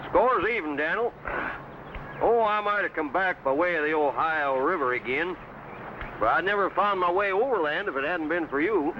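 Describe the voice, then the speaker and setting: An actor playing a carefree, stubborn American frontier trapper with folksy backwoods speech, in a vintage adventure serial. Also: male voice, a little high, normal speed, natural voice. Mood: easygoing. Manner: folksy backwoods drawl and dialect. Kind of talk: 1950s adventure serial acting. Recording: muffled, noisy old broadcast soundtrack.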